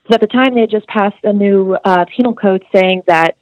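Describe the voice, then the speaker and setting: A woman's voice: mid-range voice, moderate pace, darkish tone, no echo. A young woman, a student, earnestly recounting a detailed story in an interview, with casual fillers. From United States. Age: young adult. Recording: dull, muffled dry recording.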